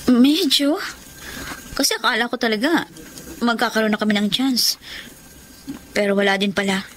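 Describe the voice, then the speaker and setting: Actress as high-voiced, tender young woman, noisy recording. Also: moderate pace, lightly acted. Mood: resigned. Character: kind, self-sacrificing young woman writing of lost love. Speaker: letter sender narrating her story in radio drama. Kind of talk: Tagalog radio drama acting.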